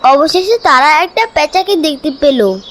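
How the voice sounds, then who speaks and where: Female cartoon narrator: extremely high, lively intonation, slow scripted storytelling. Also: a little hiss or hum.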